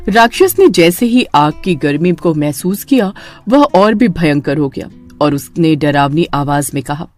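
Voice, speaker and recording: Animated woman narrating a scary story. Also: sits low, measured pace, faint music behind. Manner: dramatic storytelling. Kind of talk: scripted horror story narration.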